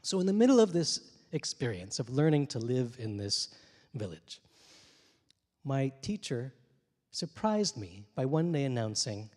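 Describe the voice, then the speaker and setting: A man in his forties, a little hoarse, telling a personal story on a theater stage into a handheld mic, reflective and gently humorous with many small pauses and fillers. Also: mid-range voice, very animated. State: slightly hoarse from many interviews. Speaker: translator and poet. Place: theater stage, dark room. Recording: long reverb of a theater hall.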